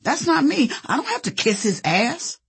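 An American woman acting out her own fiery, outspoken younger self in a memoir, husky, sputtering and forceful. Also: high voice, huge pitch swings, moderate pace, voice pushed up, full character voice. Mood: indignant.

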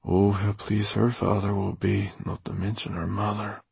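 A man's voice: lowish voice, completely flat delivery, moderate pace, creaky, a little mellow, slight background noise, pitched above the performer's own voice. A man voicing a wry, weary, secretive fire performer with a sardonic, muttering manner in an audiobook. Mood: exasperated. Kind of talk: audiobook character dialogue.

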